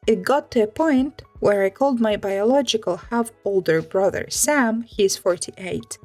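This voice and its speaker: A woman narrating a scripted first-person story, reading it aloud. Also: mid-range voice.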